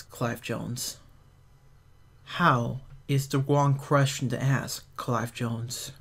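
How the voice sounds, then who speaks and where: A man voicing an ancient, all-seeing male cosmic being who speaks in slow, solemn, cryptic pronouncements for a fan audio drama. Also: medium pitch, breathy edge. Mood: grave.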